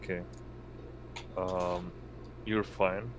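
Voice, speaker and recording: A man narrating a tabletop roleplaying game as game master, halting as he searches for words. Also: low voice, level tone, a little hiss or hum. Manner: halting, often pausing to find words.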